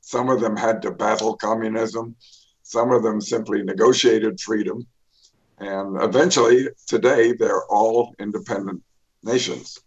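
An older man, an author, telling his life story in a radio interview, pausing often with fillers and restarts. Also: on the low side, steady tone, dull audio. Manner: rambling, many fillers and restarts. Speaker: author guest.

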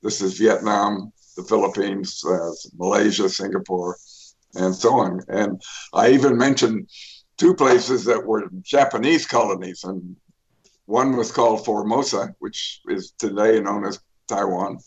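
An older man, an author, telling his life story in a radio interview, pausing often with fillers and restarts. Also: on the low side, steady tone, dull audio. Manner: rambling, many fillers and restarts. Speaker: author guest.

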